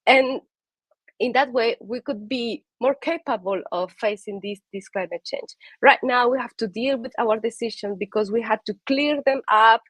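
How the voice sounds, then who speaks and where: A woman's voice: a little high, normal speed, a bit bright, no room sound, poor audio. A woman from Peru with a Peruvian Spanish accent, giving an earnest talk on conservation, with frequent fillers and restarts. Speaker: conservation speaker. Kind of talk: prepared talk.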